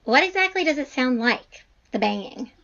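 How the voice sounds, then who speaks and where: Woman playing a high-voiced, cautious young-sounding professor. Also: animated, normal speed, a bit bright, dull audio. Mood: curious. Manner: informal, tentative. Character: hesitant, friendly, cautious female professor. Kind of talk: audio drama dialogue.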